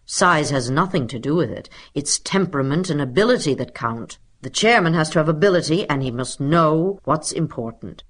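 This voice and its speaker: A woman's voice: deep voice, lively intonation, normal speed, few pauses. A woman voicing a small, dapper male substitute teacher in a children's storybook, pompous, professorial and commanding. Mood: pompous lecturing.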